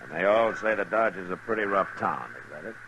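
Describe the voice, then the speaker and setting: Steady western marshal, deep voice, American accent, plain-spoken, patient, vintage radio recording with slight noise. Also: male voice, brisk, lo-fi sound. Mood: measured, dry. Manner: calm, laconic, plain-spoken. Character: steady, patient, watchful US marshal of the Old West. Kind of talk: 1950s western radio drama acting. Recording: faintly noisy vintage radio broadcast.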